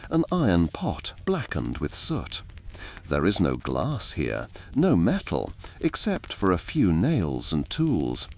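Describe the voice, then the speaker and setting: A man narrates a scripted ASMR bedtime-style story in a deep voice. He takes his time over each phrase, speaking very slowly and deliberately. His intonation rises and falls dramatically across a very wide range.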